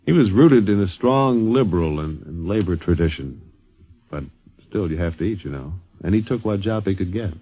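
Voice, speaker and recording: A man's voice: deep voice, lively intonation, moderate pace, dry recording. A man narrating a 1950s radio documentary in a conversational style. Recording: dull, dry 1950s radio recording.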